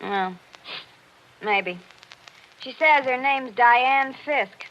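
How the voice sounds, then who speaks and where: An actress playing a tart, sly housemaid in an old radio drama. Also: female voice, high voice, extremely slow. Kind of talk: 1940s radio acting. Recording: dull old radio recording.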